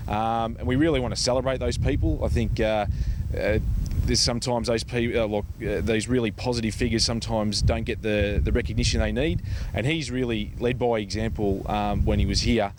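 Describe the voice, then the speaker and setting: An Australian man with a fairly low voice speaks as an interviewed charity representative. His delivery is conversational and halting, with repeated words. There is some ambient noise behind him. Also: steady tone.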